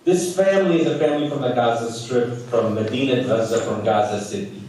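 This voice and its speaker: A man's voice: medium pitch, warm tone, slight background noise. Echoey, distant man on a call. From Chicago, United States. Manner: earnest, measured, with frequent fillers. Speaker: guest speaker, physician. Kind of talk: personal testimony speech. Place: remote video call. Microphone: video call.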